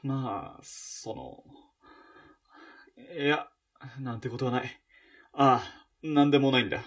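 A man playing an awkward, evasive former soldier in a radio drama, speaking in a hesitant, halting way. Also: mid-range voice. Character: awkward, evasive male ex-soldier, reluctant bearer of news. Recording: distant from the mic.

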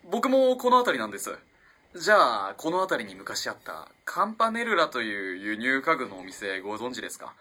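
A man's voice: medium pitch, huge pitch swings, normal speed, sharp tone, heavily acted. An actor playing a polite, earnest, easily flustered young male student in a radio drama. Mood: friendly, eager. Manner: polite, eager, hesitant. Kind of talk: Japanese radio drama acting.

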